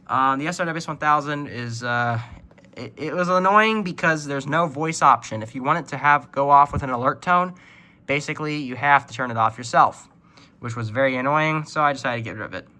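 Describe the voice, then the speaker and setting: A man's voice: mid-range voice, brisk, sharp tone. A man casually walks viewers through a hobby collection in an informal, matter-of-fact way. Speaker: hobbyist video presenter.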